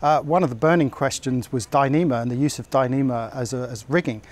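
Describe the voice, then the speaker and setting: Sailing vlogger chatting casually. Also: male voice, medium pitch, fast, a little mellow. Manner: friendly, affirming, backchannels. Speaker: sailing vlog host. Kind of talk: casual chat. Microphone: lapel.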